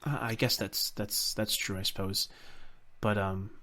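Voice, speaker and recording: A man playing an unsure, deferential hero in a fan-made sci-fi roleplay, halting and repeating his words. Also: fairly low pitch, steady tone, bright, forceful tone. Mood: hesitant, uneasy. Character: unsure, anxious, deferential hero serving a general.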